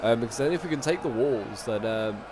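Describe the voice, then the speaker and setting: A man with a bit of a cold, chatting casually through strategy-game commentary, rambling along and then bursting out excitedly at big moments. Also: medium pitch, brisk, noisy background. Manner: chatty, with sudden excited outbursts at big plays. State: sniffly, coming down with a cold.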